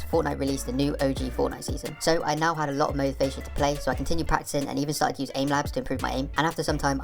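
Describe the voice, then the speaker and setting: A woman with a very deep voice reads scripted gaming narration at a very fast clip. Her tone has a noticeable creak, and light music plays behind her.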